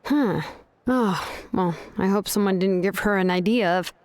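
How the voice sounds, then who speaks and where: A woman voicing a calm, sensible adult woman in a fantasy video game, talking in a measured, gently wry way. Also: mid-range voice, dry recording, lightly acted.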